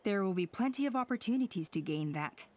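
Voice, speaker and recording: Woman playing a calm tribe elder lady, mid pitch, measured, authoritative, anime game. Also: close to an everyday voice. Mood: resolute.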